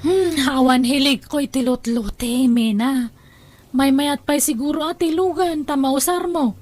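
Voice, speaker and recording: A woman's voice: high voice, measured pace, a little mellow. A woman playing a headstrong, free-spending young adult daughter in an audio drama, defiant and casually stubborn. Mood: offhand. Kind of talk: Ilocano audio drama dialogue.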